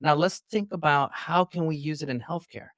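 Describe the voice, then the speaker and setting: A man's voice: on the high side, moderate pace, a bit bright, no echo, studio quality. A man from New York City, a physician guest, explaining at length in an earnest, teacherly way over a video call. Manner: earnest, teacherly, long-winded. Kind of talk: explaining interview answers. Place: indoors.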